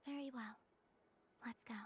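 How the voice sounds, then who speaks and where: Woman voicing gentle wistful young moon girl in a game, highish whispery soft voice, bit of background noise. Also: steady tone, unhurried, close to an everyday voice. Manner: soft, breathy, often whispering. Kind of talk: video game voice acting.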